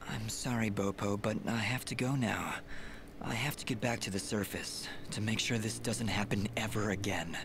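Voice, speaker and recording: A man's voice: on the low side, normal speed, noisy background, close to an everyday voice. A man voicing a brooding young male swordsman hero in a game, speaking with anguished intensity. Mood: gentle. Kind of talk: game cutscene dialogue.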